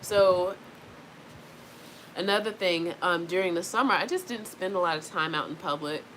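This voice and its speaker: A woman's voice: fairly low pitch, moderate pace, fairly bright tone, poor audio. A congested woman vlogging casually from home, rambling with many fillers while fans run in the background. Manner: rambling, chatty, frequent fillers. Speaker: wellness vlogger. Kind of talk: casual talking-to-camera vlog. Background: faint fan noise.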